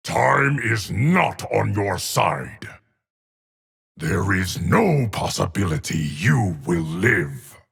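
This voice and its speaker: Ancient immortal time keeper for a video game, super raspy, solemn and threatening, swings up and down a lot. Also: male voice, deep voice, fairly bright tone, exaggerated voice. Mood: menacing, commanding. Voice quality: gravelly, husky. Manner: solemn, portentous, deliberate. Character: ancient, unique immortal guardian of time, grave and menacing. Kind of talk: video game character voice lines.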